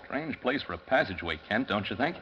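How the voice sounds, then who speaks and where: An actor playing a serious, practical crime-fighter in an old-time radio drama, speaking earnestly in clipped questions and remarks. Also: male voice, on the low side, very animated, quick pace, echoey room, big-room sound. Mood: wary. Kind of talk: 1940s radio acting. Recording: dull, noisy vintage radio recording.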